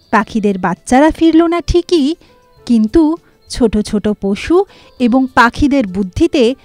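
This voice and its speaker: A woman narrating a children's cartoon moral tale in an expressive storybook manner. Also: sits high, no echo. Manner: slow, expressive storybook reading.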